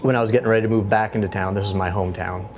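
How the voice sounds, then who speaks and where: A man in his thirties, a head brewer giving a casual tour of his small brewery, relaxed and full of fillers and restarts. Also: lowish voice, very fast, faint music behind. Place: small brewery inside a bar, among steel tanks. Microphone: lapel mic.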